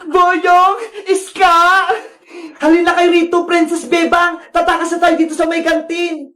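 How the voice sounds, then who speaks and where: A woman's voice: extremely high pitch, little pitch movement, unhurried, pushed and bright, exaggerated voice. A woman voicing a brave, eager young schoolchild in a children's cartoon story, bold and childlike. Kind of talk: children's animated story acting.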